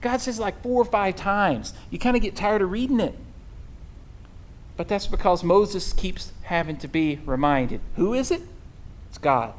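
Casual, chatty preacher with a middling pitch, talking to the congregation like a classroom and full of asides. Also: animated. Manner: conversational, with frequent "right?" and asides; halting restarts and fillers. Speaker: pastor. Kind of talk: sermon.

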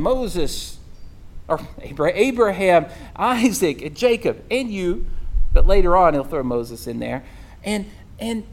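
A pastor delivers a relaxed, conversational sermon, often asking the listeners "right?" The delivery stumbles over restarts and fillers. The voice sits at a moderate pitch. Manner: conversational, with frequent "right?" and asides.

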